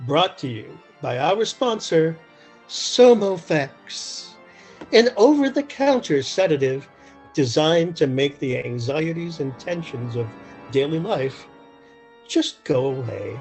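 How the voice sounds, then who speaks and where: A man playing a solemn, theatrical old-time radio announcer with deliberate delivery. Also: on the high side, very animated, a little mellow, faint music behind, exaggerated voice. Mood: solemn, salesmanlike.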